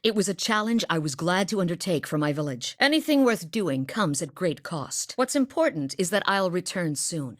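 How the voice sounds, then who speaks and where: An actress voicing a steady, blunt woman hunter from a far northern village, with a matter-of-fact manner and dry humor, in a studio game recording. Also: lowish voice, moderate pace, few pauses, dry recording. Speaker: game character voice lines. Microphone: close studio mic.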